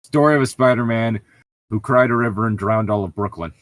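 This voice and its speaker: A man's voice: on the low side, a little fast, a little hiss or hum. A man co-hosting a comedic read-aloud, bantering casually with sarcastic, joking, profane commentary. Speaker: co-host reading and mocking fan fiction.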